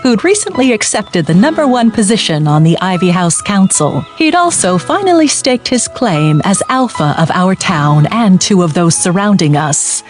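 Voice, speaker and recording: A woman narrating an audiobook in the first person with a wry, lively delivery. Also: mid-range voice, normal speed, hardly any pauses, faint music behind.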